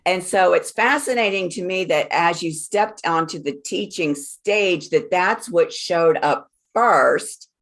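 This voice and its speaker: Slow-spoken woman with a lowish voice. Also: a bit bright. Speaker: astrologer giving a birth chart reading. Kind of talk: explanatory one-on-one spiritual reading.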